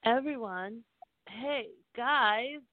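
A woman playing a friendly, placating peace-and-love friend in a radio play, with a gentle drawn-out delivery. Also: on the high side, no room sound. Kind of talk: amateur radio play acting. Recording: dull lo-fi audio.

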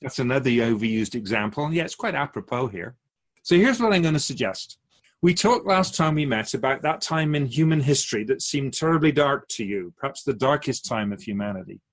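Otherworldly Keeper male actor, radio drama: husky, expressive, philosophical mentor to humans, measured and probing. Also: mid-range voice, lightly acted. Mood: earnest, probing. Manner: measured philosophical explaining with rhetorical questions. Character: otherworldly Keeper of souls, wise, patient, gently challenging teacher.

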